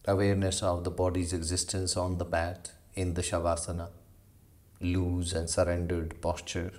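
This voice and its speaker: A man leading a guided meditation as a yoga instructor, giving slow, measured instructions with long pauses. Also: low-pitched, completely flat delivery, darkish tone.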